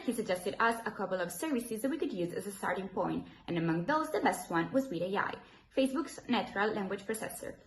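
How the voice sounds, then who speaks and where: A young woman, a student presenter, giving a scripted technical presentation. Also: medium pitch. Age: young adult. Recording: roomy echo with faint hiss.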